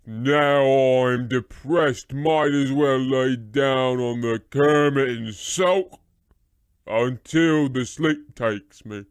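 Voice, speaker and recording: A man's voice: medium pitch, very slow, clear, resonant tone, dry recording. A man playing a pompous, easily wounded lord of monstrous chimney sweeps in an old-time radio horror comedy, theatrical and drawn out, using British slang. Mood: sulky.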